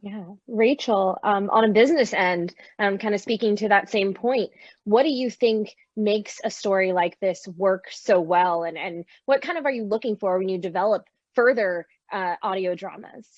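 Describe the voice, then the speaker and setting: A woman's voice: mid-range voice, moderate pace, no echo. A woman moderating a conversational interview over a dull-sounding video call. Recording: dull, lo-fi online call audio.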